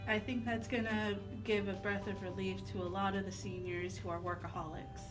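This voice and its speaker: A woman's voice: medium pitch, flat delivery, normal speed. Woman co-hosting radio talk over music. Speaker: radio co-host.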